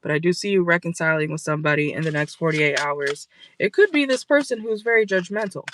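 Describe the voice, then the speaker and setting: A tarot reader talks straight to viewers from a parked car, blunt and freely swearing, with "okay?" capping almost every point. The voice is bright and sharp-edged but starting to wear thin. A faint hiss runs underneath. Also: medium pitch, fast, low-quality recording. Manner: blunt and profane, tags lines with "okay?". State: losing voice. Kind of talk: casual tarot reading for viewers.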